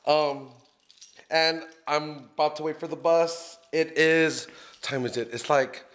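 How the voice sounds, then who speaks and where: A man vlogging from a phone held at arm's length while waiting for a bus and riding a train, rambling and chatty with lots of ums, slightly hoarse from losing his voice. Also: a little high, fairly even intonation, slow pace, a bit bright. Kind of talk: casual self-filmed travel vlog. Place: outdoors at a bus stop, then on a bus and a train. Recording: faint vehicle and street noise behind.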